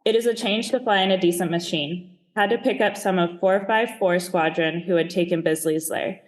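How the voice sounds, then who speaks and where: A woman's voice: fairly low pitch, moderate pace, rough recording. A woman reading diary entries aloud from a script. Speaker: diary reader.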